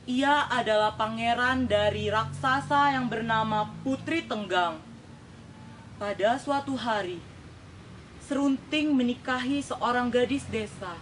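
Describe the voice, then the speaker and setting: A young woman tells a rehearsed folktale in a deliberate, dramatic storytelling style, phrase by phrase. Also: on the high side, a little hiss or hum, rough recording. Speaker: storytelling contestant.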